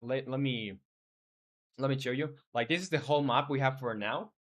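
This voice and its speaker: Guy streaming his game dev, average pitch, casual and kinda hesitant, chatting with viewers. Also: normal speed. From Chile. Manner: informal, hesitant, reads viewer messages aloud and replies. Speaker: game developer live streamer. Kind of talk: casual livestream chat.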